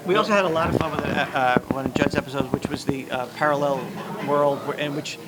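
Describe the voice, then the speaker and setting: A man's voice: fairly high pitch, fairly even intonation, quick pace. A man, a guest, speaking in a hesitant and thoughtful way with many fillers. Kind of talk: casual interview. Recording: loud crowd noise outdoors.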